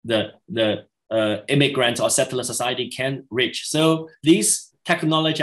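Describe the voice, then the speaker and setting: A middle-aged man, a native Chinese speaker, answering questions after a lecture in halting English with many restarts, over a video call. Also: mid-range voice. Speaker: guest lecturer. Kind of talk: academic Q&A answers.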